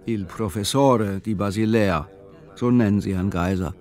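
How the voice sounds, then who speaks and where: A man narrating a literary audio drama in measured, clipped, matter-of-fact phrasing. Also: on the low side, darkish tone. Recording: music behind the voice. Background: music.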